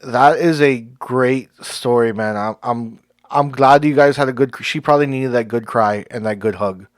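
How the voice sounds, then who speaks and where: A man's voice: mid-range voice, normal speed, dry recording, studio quality. A man hosting a podcast, talking casually and thanking his guest effusively, laughing often. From Cuban heritage. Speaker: podcast host.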